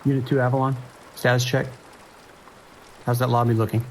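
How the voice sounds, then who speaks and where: Man playing a gravelly, tense police dispatcher, rain behind. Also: medium pitch, level tone, brisk, a little hiss or hum, lightly acted, rough recording. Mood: urgent. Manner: clipped, procedural radio speech. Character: tense, dutiful male police dispatcher holding the line. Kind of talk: audio drama acting.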